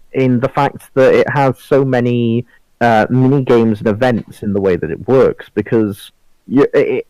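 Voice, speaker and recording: A man's voice: mid-range voice, a little mellow, no room sound, rough recording. A man talking in a casual group discussion as a podcast panelist.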